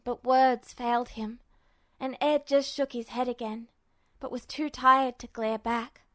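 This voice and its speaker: High-voiced woman narrating fiction. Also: fairly bright tone, no echo. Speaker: podfic narrator. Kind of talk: expressive scripted fiction narration.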